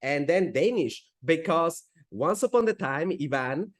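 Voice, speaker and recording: A man in his forties from Peru with a Spanish accent, speaking as a guest in an interview, with frequent restarts and halting phrasing. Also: fairly high pitch, animated. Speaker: guest entrepreneur. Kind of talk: conversational interview.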